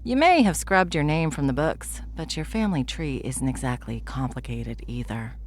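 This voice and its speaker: A woman playing a smug, world-weary veteran witch in a fantasy audio roleplay, dry, condescending and unhurried. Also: extremely low pitch, animated, slight background noise.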